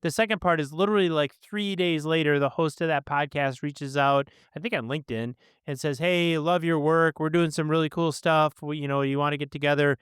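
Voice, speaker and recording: A man's voice: on the high side, fairly even intonation, fairly quick, few pauses, fairly bright tone, clear, resonant tone, dry recording, clean studio sound. A man hosting a podcast, introducing and interviewing his guest in an effusive, conversational way. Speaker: podcast host. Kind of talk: interview introduction with personal anecdote.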